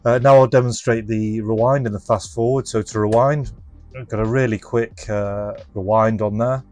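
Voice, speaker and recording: Man, low voice, informal explanatory manner, casual hands-on demo, thinking aloud, music behind. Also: steady tone, normal speed, a little mellow. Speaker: presenter and reseller of restored retro electronics. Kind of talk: casual hands-on product demonstration.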